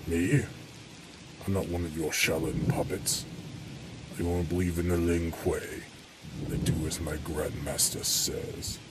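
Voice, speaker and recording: An actor playing a cold, proud ninja assassin with ice powers in an audio drama, terse and defiant. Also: male voice, low voice, little pitch movement, a little slow, pauses often, background noise. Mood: dismissive.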